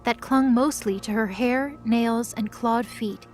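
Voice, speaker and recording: A woman's voice: fairly high pitch, slow. A woman narrating a scripted audio drama as a storyteller. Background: music.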